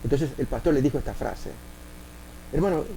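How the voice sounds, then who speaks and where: Gravelly Argentine pastor preaching. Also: male voice, medium pitch, warm tone, a little hiss or hum, poor audio. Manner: many filler pauses, acts out quoted voices. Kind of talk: sermon with spoken anecdotes.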